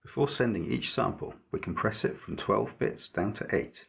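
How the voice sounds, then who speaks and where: A man with a low, creaky voice presents a scripted electronics tutorial. His tone is very husky and breathy-rough rather than smooth. He explains each step methodically, in a measured, explanatory manner. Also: animated. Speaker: maker presenting an electronics project.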